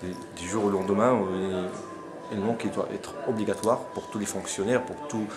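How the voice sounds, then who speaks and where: A man's voice: on the low side, fairly even intonation, normal speed, music in the background. A man in his forties from Morocco, giving his view in an interview, hesitating and restarting his phrases while insisting on finishing his point. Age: 40s. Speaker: interviewee, conference attendee. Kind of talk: spontaneous debate interview. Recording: crowd noise behind.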